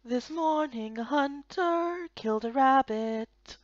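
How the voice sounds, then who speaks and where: A woman's voice: sits high, takes it slowly, no room sound, highs cut off. A woman voicing a cheeky young male elf in a fantasy game, smug and sardonic. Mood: sing-song teasing. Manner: teasing, sardonic.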